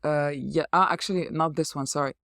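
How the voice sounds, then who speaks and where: A man hosting a conversational interview, speaking haltingly as he searches for words. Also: a little high, steady tone, vocal fry, fairly bright tone, no echo, studio quality. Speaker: interviewer, host.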